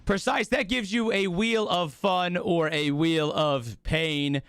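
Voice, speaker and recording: Male streamer, high-pitched, hyped bro slang, sweary, strained voice, bright and forceful, casual chat with viewers. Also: takes it slowly, a little hiss or hum. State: voice strained, losing it. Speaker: live streamer hosting a watch party.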